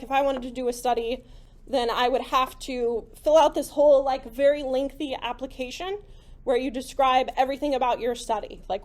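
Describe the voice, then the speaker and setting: A woman giving a classroom lecture in a conversational way, full of "like" and "right?", her voice slightly hoarse from talking. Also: high voice, darkish tone. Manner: conversational, many "like" and "right?" fillers. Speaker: psychology lecturer. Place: lecture room.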